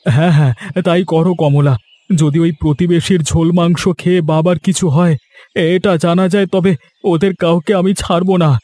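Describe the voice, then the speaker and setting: A man's voice: on the high side, steady tone, a little fast, warm tone, dry recording, lightly acted. A man voicing an emotional, accusing grown son in a cartoon. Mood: angry. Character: grown son, loud and angry villager.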